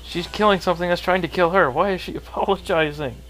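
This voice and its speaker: A man's voice: high voice, fast, fairly bright tone, low-quality recording. A man co-commentating on a video game in a casual, offhand, wry way. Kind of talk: casual chat over gameplay. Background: video game music.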